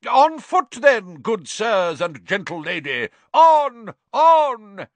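A man's voice: sits high, very animated, slow, a bit bright, breathy edge, no room sound, voice pushed up, exaggerated voice. A man voicing a short, pompous, hot-headed knight in a storybook, declaiming bombastically in archaic language. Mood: gallant, rousing. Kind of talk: audiobook character acting.

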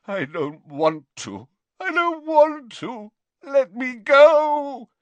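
Delirious elderly wizard, high strained pitch, slow anguished pleading. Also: male voice, very animated, no room sound, highs cut off, exaggerated voice. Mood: groaning protest. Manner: moaning, pleading, drawn-out words. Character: frightened, delirious old male wizard, pleading in agony.

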